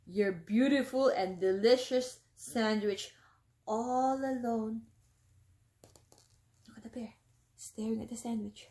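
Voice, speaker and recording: A woman's voice: fairly high pitch, steady tone, slow, pauses often, darkish tone. A woman, a teacher, reading a picture book aloud to young children, often stopping to explain words and ask them questions.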